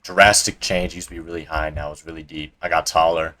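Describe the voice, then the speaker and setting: A man's voice: very deep voice, little pitch movement, a little fast, pushed and bright. A young man from Colorado telling a casual, profane story to camera as a vlogger, heavy on slang fillers, recorded at home on a cheap desk mic. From Colorado, USA. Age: young adult. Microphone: cheap desk mic resting on a coin jar.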